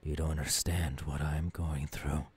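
A man playing a tormented, menacing young ghoul king in an ASMR audio roleplay, in a breathy, often whispering voice with brooding phrasing. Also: a bass voice, flat delivery, unhurried, darkish tone, heavily acted. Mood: tense, weary. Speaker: voice actor.

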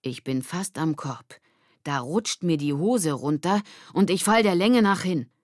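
A woman's voice: fairly low pitch, expressive, measured pace, dry recording. Woman acting casual boy, confiding, friendly storyteller, children's audiobook, dry recording. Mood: confiding, amused.

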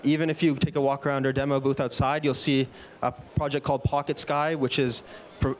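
A man's voice: medium pitch, flat delivery, fairly quick. A man in his thirties giving a product keynote at a conference, upbeat, with a few fillers and restarts, speaking into a handheld mic on an indoor stage. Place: conference stage in a large indoor event room. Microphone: handheld microphone.

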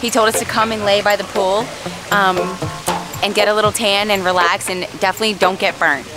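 A woman in her thirties chatting excitedly to a handheld camera, at a pool and then in a gym at night. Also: medium pitch, a little fast. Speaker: bodybuilding vlogger. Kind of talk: casual vlog to camera. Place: poolside outdoors, then large gym at night. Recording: music playing behind.

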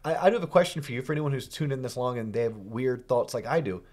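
A middle-aged man hosts a podcast with bursting, animated energy and playful self-mockery. His voice sits at an ordinary pitch for a man. He speaks into a close studio microphone. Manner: high-energy, quick and animated, self-deprecating banter. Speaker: podcast host. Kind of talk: casual podcast chat. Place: podcast studio with curtained backdrop. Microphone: studio microphone on a stand, headphones on.

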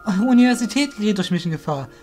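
A man's voice: sits high, expressive, quick pace, a little mellow, faint music behind, voice pushed up. A man voicing an anxious, bewildered young male student in an audio drama, agitated and full of questions. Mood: anxious, upset.

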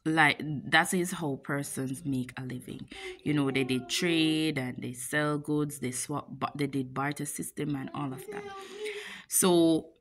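A woman's voice: deep voice, a little slow. A young woman with a Jamaican accent, a teacher telling a casual story-time vlog to a phone camera in her classroom, chatty and playful. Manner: chatty, animated retelling with playful asides. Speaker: teacher and vlogger. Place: classroom, indoors. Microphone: phone camera at arm's length.

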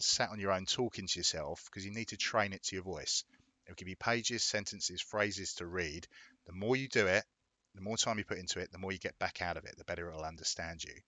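A low-pitched man with an English accent talks through a tutorial in a chatty, informal way. His voice has a bright, forceful edge and is recorded close and dry, with words repeated for emphasis. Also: dry recording. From England. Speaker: tutorial host. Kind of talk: casual explanatory software tutorial.

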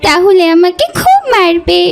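A woman playing an obedient, timid little fox girl in a cartoon, squeaky, childlike and pleading. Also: very animated, moderate pace, vocal fry, faint music behind, heavily acted. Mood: wary. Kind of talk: cartoon voice acting.

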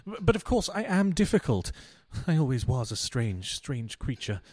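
A man's voice: mid-range voice, very animated, normal speed, a little mellow. A man playing a pompous, self-pitying philandering husband in a radio play, speaking floridly. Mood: lovelorn, self-pitying. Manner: earnest, florid, grandiose. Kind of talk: stage-style radio play acting.

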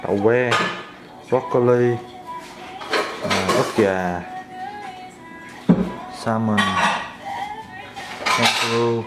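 A young man hosting a casual cooking vlog, explaining step by step in a relaxed, chatty way in his home kitchen. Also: mid-range voice, drawn-out words, pauses often, over loud music. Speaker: vlog host.

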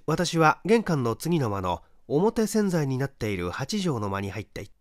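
A man's voice: mid-range voice, very animated, moderate pace, dry recording, clean studio sound. A man reading a mystery story aloud as a dramatic scripted narration. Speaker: audiobook reader, stage actor.

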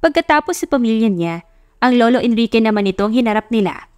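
A woman's voice: on the high side, animated, fast talker, smooth, no room sound, clean studio sound. A woman with a Filipino accent narrating a romance audiobook in a scripted, dramatic storytelling style. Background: music.

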